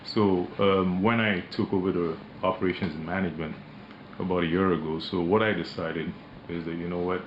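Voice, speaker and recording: Man w a super deep voice, talks slow with lots of uhs, echoey muffled studio, noisy. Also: fairly even intonation. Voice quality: deep, imposing voice. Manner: measured, hesitant with many fillers. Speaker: managing director interviewed as guest. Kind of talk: radio interview, relaxed business talk. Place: radio studio.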